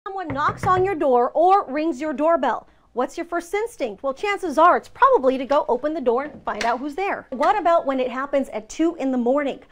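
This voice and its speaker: A woman's voice: high-pitched, animated, normal speed, hardly any pauses. A woman narrating a scripted TV news package. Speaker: TV news reporter.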